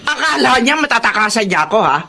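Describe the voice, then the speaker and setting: A woman's voice: a little high, sing-song, quick pace, pushed and bright, full character voice. A woman playing an angry, threatening moneylender in a radio drama, confrontational and vengeful. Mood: menacing. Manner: menacing, confrontational. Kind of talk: Filipino radio drama acting.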